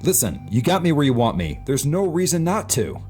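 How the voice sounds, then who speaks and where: A man's voice: on the high side, sing-song, a little fast, darkish tone, faint music behind. A man playing earnest, anxious young men in an amateur radio play reading. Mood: pleading.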